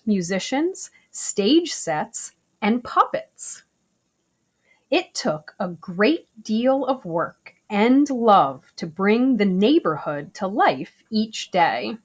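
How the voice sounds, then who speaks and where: A woman reads a picture book aloud for children at an unhurried, slow pace. Her pitch moves up and down freely, and she phrases each sentence deliberately, leaning on key words in an expressive storytelling style.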